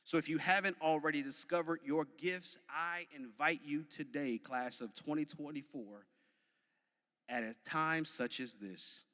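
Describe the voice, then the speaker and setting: A man in his early thirties with an American accent gives a motivational commencement speech, emphatic and deliberate, with repetition and dramatic pauses like a preacher. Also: fairly high pitch, pushed and bright. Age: early 30s. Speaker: graduation commencement speaker. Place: large venue, graduation ceremony. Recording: long hall reverb from a PA.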